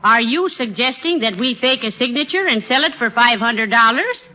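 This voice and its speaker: An actress playing a wry, sharp-tongued but good-natured wife, folksy and teasing, in 1930s radio comedy style. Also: female voice, fairly high pitch, animated, normal speed. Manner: dry teasing, folksy exclamations. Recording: muffled 1930s radio, loud studio audience.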